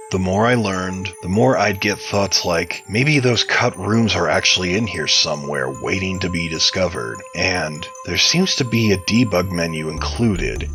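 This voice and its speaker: A man with a deep voice and a sharp, bright edge explains things as an interviewed game modder. His answers are orderly and sound read from a prepared text. Soft music plays faintly behind him.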